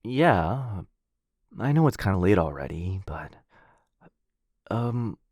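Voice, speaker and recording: Slow, low-voiced, bashful dog-person roommate played by a man. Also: very animated, no room sound, clean studio sound, heavily acted. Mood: hopeful, touched. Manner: soft, hesitant, halting, bashfully rambling. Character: shy, sweet, earnest male dog-person roommate, flustered and eager. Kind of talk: boyfriend ASMR roleplay, intimate acting.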